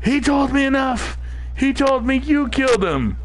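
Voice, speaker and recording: Squeaky, high, anguished young hero, over the top. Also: male voice, lively intonation, a little slow, low-quality recording. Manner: shrill, anguished shouting. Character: young male hero, shrill and distraught.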